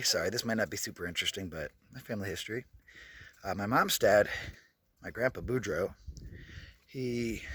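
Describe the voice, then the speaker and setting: A man's voice: lowish voice, fairly bright tone, a little hiss or hum. A man in his thirties vlogging casually outdoors while walking, telling his family story in a candid, rambling way that often corrects itself. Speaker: travel vlogger. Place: outdoors at a skate park. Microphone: handheld camera while walking.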